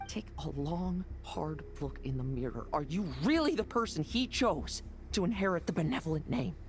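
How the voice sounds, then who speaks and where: Game role: resolute young knight, blunt, measured, reproachful, slight background noise. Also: male voice, on the high side, expressive. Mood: stern. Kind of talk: dramatic video game voice acting.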